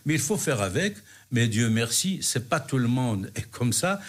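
A man's voice: medium pitch, big pitch swings, moderate pace. Hesitant Moroccan-born man, reflective. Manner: hesitant, many restarts and fillers. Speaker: guest. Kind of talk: interview answers.

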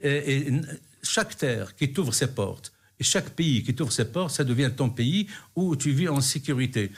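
A man's voice: medium pitch, expressive, moderate pace, husky. A middle-aged man from Morocco, answering interview questions in a hesitant way, with many fillers and restarts. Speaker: guest.

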